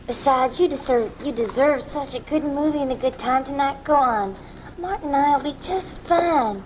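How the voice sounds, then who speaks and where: A woman's voice: high-pitched, moderate pace, natural voice. An actress playing a kindly, reassuring neighbour minding a boy in a 1980s radio drama, taped off the air. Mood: coaxing, reassuring. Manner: coaxing, reassuring. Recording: noisy amateur off-air radio tape.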